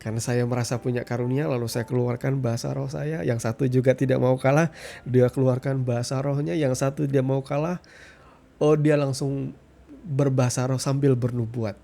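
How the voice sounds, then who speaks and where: A man with a mid-pitched, gravelly voice delivers an informal Bible reflection. His tone is dark and relaxed. He teaches in a conversational way, often adding short tags and pausing for emphasis. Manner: conversational teaching, frequent tag questions and pauses for emphasis.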